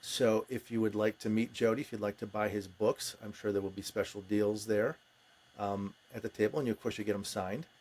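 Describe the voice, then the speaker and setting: A man's voice: lowish voice, fairly even intonation, a little fast, darkish tone. An American man hosting a podcast, talking casually as he interviews a guest. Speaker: podcast host.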